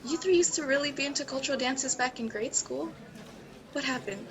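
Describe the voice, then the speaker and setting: Woman playing a sad calm mom, soft measured voice, people chattering behind. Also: high voice, crowd noise. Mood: disappointed. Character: grieving middle-aged mother, composed and gentle. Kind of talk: amateur online drama acting.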